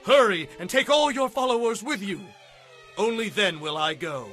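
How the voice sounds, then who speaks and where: A righteous, unyielding prophet in a Bible audio drama. The voice is fairly high, bright and forceful, declaiming solemnly and defiantly over faint music. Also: male voice, animated, moderate pace. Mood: stern warning.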